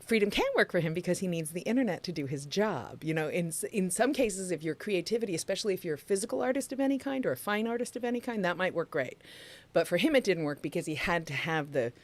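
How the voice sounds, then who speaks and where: A middle-aged woman co-hosts a casual livestream. She talks in an emphatic, chatty way straight to the chat room, and her voice sits a little low for a woman. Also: lively intonation, fast talker. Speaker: podcast co-host.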